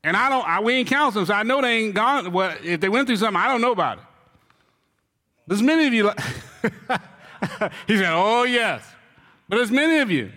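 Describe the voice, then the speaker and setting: A pastor delivers a sermon in a high, bright voice, acting out his story with loud comic cries and quick asides to the listeners. His voice rings through a church hall with a long reverb. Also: animated.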